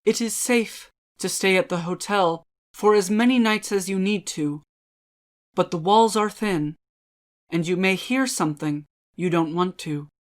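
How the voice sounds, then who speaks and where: A woman giving a scripted spooky dramatic reading, ominous and deliberate, with measured dramatic pauses. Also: fairly low pitch. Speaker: dramatic reader.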